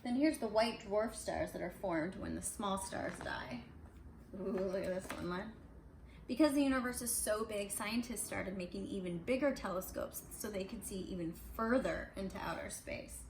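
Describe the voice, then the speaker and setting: A woman's voice: medium pitch, moderate pace. Woman reading aloud casually in an echoey room. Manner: conversational, explains pictures as she reads. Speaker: parent reading aloud to children. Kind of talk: casual picture-book read-aloud with asides to kids. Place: indoors at home. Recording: roomy, echoey home recording with faint noise.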